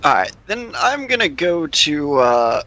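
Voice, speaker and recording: A man playing a tabletop game, talking casually in a halting, hesitant way. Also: fairly high pitch, sing-song, bright, forceful tone, lo-fi sound. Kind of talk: casual chat during a game.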